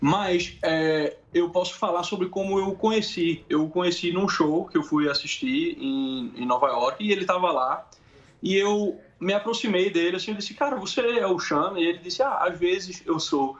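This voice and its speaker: Brazilian guy, pretty high voice, casual interview guest, halting and slangy, kinda dull lo-fi audio. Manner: colloquial, halting, frequent tag questions. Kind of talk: casual interview answers, anecdotes.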